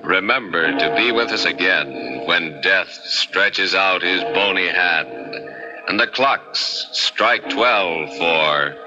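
A man announcing in an ominous, theatrical 1940s radio horror narration style. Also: low-pitched. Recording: muffled old radio, music behind.

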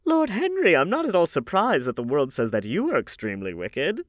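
Male reader as elderly society hostess for a classic novel; high, very animated, exclamatory and gossipy. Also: fairly quick, no room sound, clean studio sound. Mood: teasing. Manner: theatrical, exclamatory. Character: lively old aristocratic lady, gossipy, scolding hostess.

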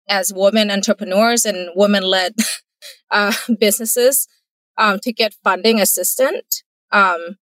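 A woman's voice: medium pitch, fairly bright tone, no echo, poor audio. Earnest Thai-accented woman entrepreneur. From Thailand. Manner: earnest, careful, laughs easily. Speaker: entrepreneur, guest. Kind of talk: casual interview answers.

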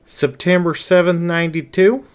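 A man's voice: sits high, fairly even intonation, normal speed, smooth, pitched above the performer's own voice, lightly acted. A man voicing a casual male wolf who works behind a diner counter in an audio story. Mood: puzzled.

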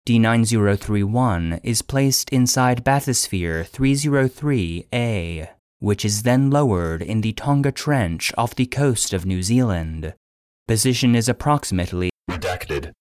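A man's voice: lowish voice, lively intonation, a little slow, a little mellow, dry recording, studio quality. A man voicing an impersonal facility database, reading classified logs in a formal, clinical manner. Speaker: database narrator reading an incident log. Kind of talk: scripted narration.

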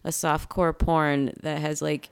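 A woman co-hosting a casual podcast, wry and quick to laugh. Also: sits low, flat delivery, creaky, slight background noise. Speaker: podcast co-host.

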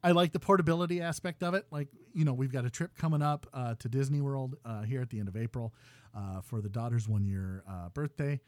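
A man in his thirties hosting a gaming podcast, ranting loudly with exasperation while losing his voice. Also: mid-range voice, a little fast, dry recording. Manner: loud exasperated ranting, swings to quiet muttering. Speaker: podcast host.

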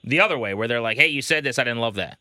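A man with a very bright, punchy voice at a medium pitch, hosting casual sports talk. He is recorded close and dry, putting questions to a guest in a conversational way. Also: lively intonation, very fast, no room sound. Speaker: baseball show host, interviewer.

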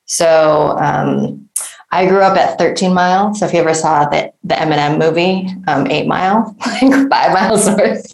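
A woman's voice: low-pitched, moderate pace, vocal fry, echoey room, poor audio. A woman from the Detroit area, a guest answering at length in an interview, thoughtful, full of fillers and asking "right?". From Detroit area, United States.

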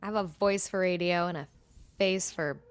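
A woman's voice: lowish voice, normal speed, clear, resonant tone, dry recording. A woman in her thirties co-hosting a podcast, chatting candidly and reading a personal letter aloud. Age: 30s. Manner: candid, talks in starts and restarts. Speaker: podcast co-host.

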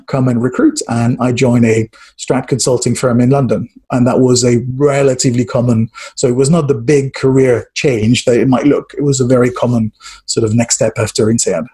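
A man's voice: mid-range voice, warm tone, breathy edge. A middle-aged man from France, a guest answering interview questions thoughtfully, with asides and restarts. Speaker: guest, strategy trainer and author.

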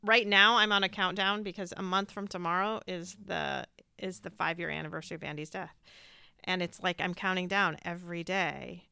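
Gentle woman host, smooth gravelly voice. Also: on the low side, fairly even intonation, normal speed, no echo. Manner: gentle, affirming listener. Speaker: podcast host. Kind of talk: interview conversation.

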